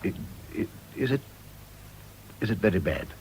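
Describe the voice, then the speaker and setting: A man's voice: fairly low pitch, lively intonation, moderate pace, darkish tone, a little hiss or hum, poor audio. An actor playing a condemned Englishman in a radio drama, terrified and pleading, stammering as he speaks. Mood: shaken, remorseful. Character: anguished condemned man in his cell, terrified of hanging.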